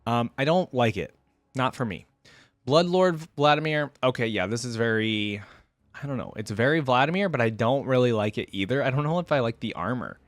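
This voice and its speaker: A man with a mid-range voice hosts in a casual, off-the-cuff way. He chats informally and thinks out loud as he reacts. The sound is dry, with no room on it. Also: medium pitch, lively intonation, a little fast, dry recording. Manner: informal, chatty, thinks aloud. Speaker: host of a reaction video.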